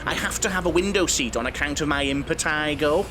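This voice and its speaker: An actor playing a chatty, fussy, jokey coach passenger in a comedy audio play, with a flustered, prattling manner. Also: male voice, a little high, fairly quick, noisy background, close to an everyday voice. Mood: chatty, playful.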